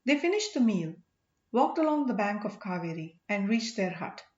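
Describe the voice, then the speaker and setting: A woman reading an audiobook story in an expressive, scripted narrating style. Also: medium pitch, moderate pace. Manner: expressive, acts out dialogue. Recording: echoey room, dull lo-fi sound.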